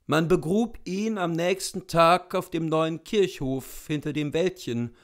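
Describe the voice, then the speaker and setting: A man in his thirties reading a literary story expressively, with deliberate dramatic pauses. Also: a little high. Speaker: literary reader, narrator. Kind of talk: expressive literary audiobook narration. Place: indoors in front of bookshelves.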